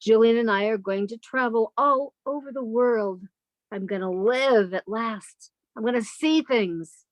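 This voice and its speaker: A woman's voice: fairly high pitch, unhurried, dry recording, highs cut off, close to an everyday voice. A woman playing a resentful younger sister breaking free, cool and pointed in an old time radio drama style. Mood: defiant.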